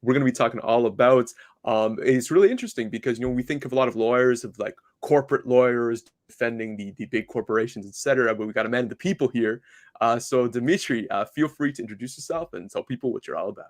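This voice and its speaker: A man's voice: medium pitch, quick pace, no room sound, studio quality. A man hosting an interview podcast, talking in a casual, informal and loosely phrased way. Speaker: podcast host.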